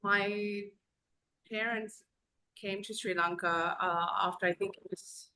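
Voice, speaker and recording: A woman from Zambia talks as a podcast guest in a loose, rambling way, pausing often as she tells her story. Her voice sits in an ordinary middle pitch. She comes through a video call. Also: steady tone, sharp tone, smooth, rough recording. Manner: rambling, conversational storytelling with many pauses. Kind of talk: casual podcast conversation.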